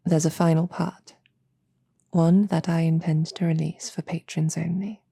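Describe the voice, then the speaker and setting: A woman audio creator addressing listeners in a gentle, coy, whispery ASMR-style outro with halting phrasing. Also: deep voice, flat delivery, no room sound. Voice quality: breathy, whispery. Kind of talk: soft-spoken ASMR-style outro and plug.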